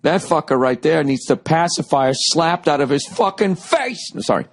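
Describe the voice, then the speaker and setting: A man in his forties with a Boston accent, ranting sarcastically and profanely in a rambling comic monologue, his voice going a little hoarse. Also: on the high side, lively intonation, a little slow. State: voice getting hoarse. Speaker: podcast host.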